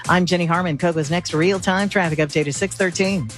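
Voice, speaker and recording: Lowish-voiced American woman giving traffic reports. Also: fairly even intonation, a little fast, over music. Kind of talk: conversational traffic report and sponsor read.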